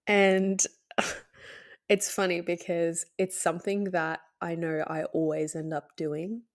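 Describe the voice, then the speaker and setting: A woman with a cold, sounding hoarse and nasal, hosting her podcast in a candid, hesitant way with many fillers and soft laughs. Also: fairly low pitch, flat delivery, slow, pauses often. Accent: Australian. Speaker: podcast host. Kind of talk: casual solo podcast talk.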